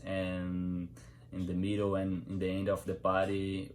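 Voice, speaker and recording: A man's voice: deep voice, darkish tone. A young man with a Brazilian accent, a native Portuguese speaker, giving an informal informative speech in simple non-native English phrasing. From Brazil, São Paulo state. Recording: clear background noise.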